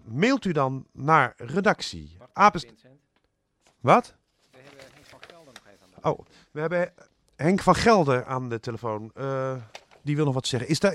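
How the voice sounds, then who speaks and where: A man's voice: on the high side, fairly bright tone. A man presenting live as a radio host. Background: music.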